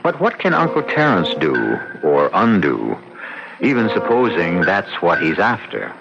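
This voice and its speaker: A man hosting a radio drama with theatrical scripted narration, in an ominous, deliberate storyteller's manner. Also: low-pitched, very animated, vocal fry. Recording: muffled old radio recording. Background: loud dramatic music.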